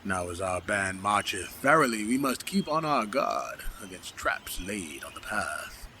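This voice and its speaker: An actor playing a pompous, hearty, noble paladin in a fantasy comedy audio drama, declaiming grandly in mock-archaic speech. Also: male voice, sits low, big pitch swings, a little slow, bright, forceful tone, a little hiss or hum, exaggerated voice. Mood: solemn, commanding.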